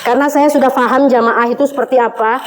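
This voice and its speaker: A woman's voice: sits high, steady tone, quick pace. A woman in her forties preaching to a women's congregation in a conversational way, often addressing her listeners directly. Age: 40s. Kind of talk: sermon to a women's congregation, with recited Arabic quotations. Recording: echoey room, faint background noise.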